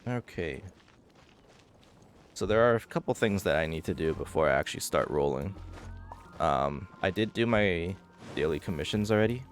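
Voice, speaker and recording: A man with a deep voice gives casual, live commentary as a game streamer. He talks through his plans and his play in an offhand way. Faint game music plays behind him. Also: expressive, normal speed, pauses often.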